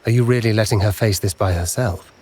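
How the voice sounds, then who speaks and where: A man's voice: on the low side, quick pace, darkish tone, a little hiss or hum, close to an everyday voice. An actor playing a concerned subordinate in a video game, questioning his superior in a hesitant, respectful way. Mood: worried. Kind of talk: dramatic video game voice acting.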